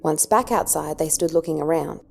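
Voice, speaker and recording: Low-voiced woman narrating a story. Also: normal speed, gravelly. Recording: music underneath.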